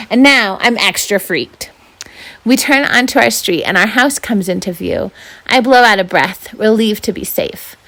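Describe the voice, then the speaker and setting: A woman's voice: a little high, fairly bright tone. Woman reading a children's novel aloud. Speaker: volunteer story reader. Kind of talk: expressive children's novel narration.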